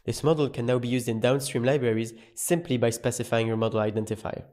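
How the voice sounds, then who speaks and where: A man giving scripted, measured narration for a tutorial video. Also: medium pitch, fairly even intonation, very noisy. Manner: measured, explanatory. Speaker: course narrator.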